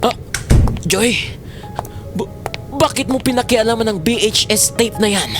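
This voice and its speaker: An actor playing a stern but flustered father in an audio drama, halting in delivery, with a Filipino accent. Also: medium pitch, animated, over music, lightly acted. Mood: alarmed, scolding. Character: flustered, stern father confronted by his daughter.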